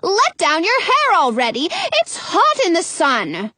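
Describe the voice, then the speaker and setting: A woman's voice: a little high, very animated, a bit bright, dry recording, heavily acted. A woman voicing an angry, bossy old witch who hollers threats and commands in a storybook. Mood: angry, threatening. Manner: hollering commands, drawn-out calls.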